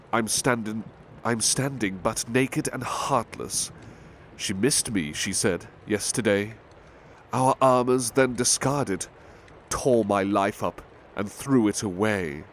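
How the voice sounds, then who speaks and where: A man playing a big-hearted butler, reading poems in a measured, gentle, formal ASMR style. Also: medium pitch. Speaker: ASMR roleplay performer. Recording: faint ambient background noise.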